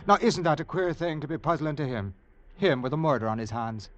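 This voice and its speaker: A man plays a sly Irish rascal in a vintage radio play. His voice swings up and down in a lively lilt as he wheedles and needles with false friendliness, telling things the long way round. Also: on the high side, fairly quick, loud music behind the voice, natural voice. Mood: soothing, needling. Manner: wheedling, lilting, roundabout storytelling. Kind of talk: old radio drama acting.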